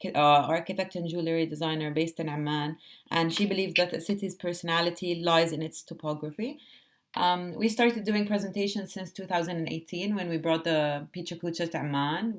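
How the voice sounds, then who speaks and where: A woman from Jordan, an event organizer on a video call, introducing the speakers in a friendly way. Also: lowish voice.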